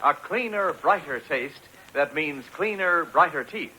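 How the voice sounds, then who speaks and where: Bright, animated American male radio announcer. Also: a little high, unhurried. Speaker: commercial announcer. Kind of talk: 1940s radio advertisement read. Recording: noisy old 1940s radio broadcast.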